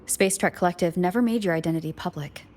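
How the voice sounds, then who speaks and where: An actress playing a guarded but caring young female adventurer in a video game, soft-spoken, serious and restrained. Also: lowish voice, fast talker, a little hiss or hum, lightly acted. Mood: calm, reassuring.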